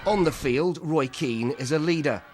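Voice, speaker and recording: A man narrating a documentary in a measured, even scripted delivery. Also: fairly high pitch, a little hiss or hum.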